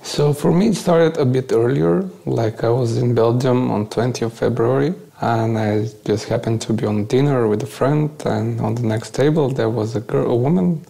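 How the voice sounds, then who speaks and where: A man from Bulgaria with a Bulgarian accent, giving a conversational, halting first-person account as an interview guest. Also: medium pitch, vocal fry, dark tone.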